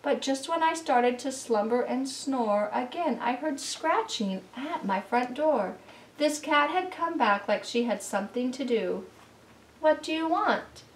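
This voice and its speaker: High-voiced woman reading a storybook, roomy sound. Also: a little slow. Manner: expressive, sing-song storybook delivery. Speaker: read-aloud storyteller for children. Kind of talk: picture book read-aloud in rhyme. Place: room at home beside a Christmas tree. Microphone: camera mic at some distance. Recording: roomy, distant camera recording.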